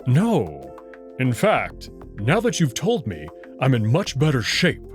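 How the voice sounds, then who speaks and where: A man playing a proud, confident wolf-man noble in a visual novel, formal and deliberate in his wording. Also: medium pitch, huge pitch swings, soft background music. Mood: assured. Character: proud, confident male wolf-man noble, playful but hot-tempered.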